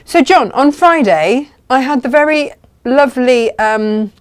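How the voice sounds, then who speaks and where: A woman's voice: high-pitched, animated, measured pace. A woman hosting a live craft show in casual chat, chatty and jokey, easily sidetracked.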